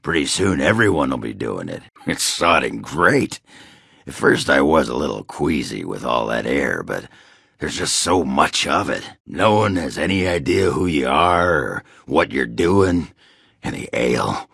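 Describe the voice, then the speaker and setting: An actor playing a gruff, crude, boozy male dwarf warrior in a video game, with a raspy growl and a coarse, blustering, grumbling manner. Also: a bass voice, lively intonation, a little slow, a bit bright, no room sound, clean studio sound, exaggerated voice. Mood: gruff boisterous. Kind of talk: video game character dialogue.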